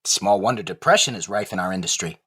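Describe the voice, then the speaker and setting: A man playing a philosophical, wistful ventriloquist dummy with a husky voice and a plaintive, musing way of talking, in a comic audio play. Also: low-pitched, expressive, quick pace, bright, forceful tone, heavily acted.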